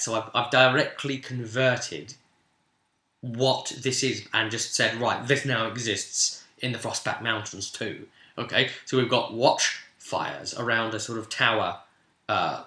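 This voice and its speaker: A British man casually recounting a tabletop game as its game master, in an animated storytelling way. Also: mid-range voice. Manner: animated, acts out players' remarks in quotes. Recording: roomy, echoing room sound.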